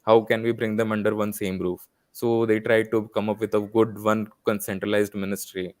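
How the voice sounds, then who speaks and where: A man's voice: fairly low pitch, little pitch movement, moderate pace, darkish tone, no room sound, clean studio sound. A man with an Indian accent speaking as a panel guest, formal and wordy, in an earnest discussion on an online call. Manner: formal, wordy, long-winded phrasing.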